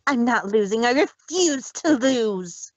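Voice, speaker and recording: A woman's voice: fairly high pitch, expressive, takes it slowly, no room sound, highs cut off. A woman voicing a deranged, menacing villainous mare who refuses to lose, in a fan fiction dramatic reading. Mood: deranged defiance. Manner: menacing, defiant, unhinged.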